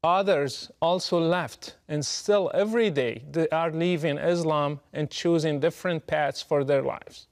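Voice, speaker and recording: A middle-aged man from Morocco, giving a prepared, deliberate and emphatic address straight to the listener. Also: fairly high pitch. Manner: measured, emphatic, deliberate delivery. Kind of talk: prepared direct address to camera.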